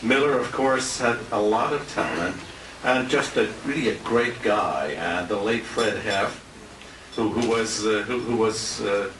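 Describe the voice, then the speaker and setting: An older man giving an informal, rambling tribute speech in a halting, anecdotal way. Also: on the low side. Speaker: retired radio announcer giving a tribute speech. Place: restaurant banquet room. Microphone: handheld compact camera at a distance. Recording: roomy, noisy handheld camera from far off.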